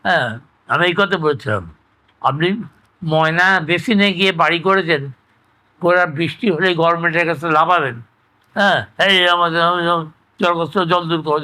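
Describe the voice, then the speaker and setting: An older man with a West Bengal accent speaks in a bright, forceful voice pitched a little high. He explains conversationally, repeating himself and halting as he searches for the next phrase. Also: poor audio. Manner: halting, repetitive explaining with frequent tag words. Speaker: interviewee recounting his experience. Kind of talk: conversational oral-history reminiscence.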